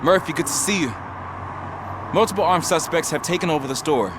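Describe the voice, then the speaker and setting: A man voicing an earnest, eager police officer in a video game, urgent and admiring, with loud action noise behind him. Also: fairly high pitch, a little fast, close to an everyday voice.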